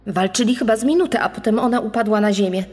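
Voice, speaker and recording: An actress playing a shaken female hiker giving husky-voiced testimony as an eyewitness. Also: medium pitch, fast talker, darkish tone, close to an everyday voice. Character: shaken female hiker who witnessed an attack. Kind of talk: dramatized witness testimony. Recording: long reverb, music underneath.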